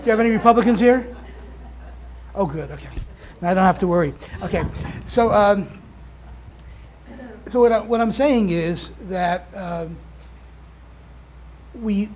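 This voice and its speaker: A soft-spoken man leading a class discussion, talking in a halting way as he thinks aloud. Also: sits high. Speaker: instructor. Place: classroom with air conditioning.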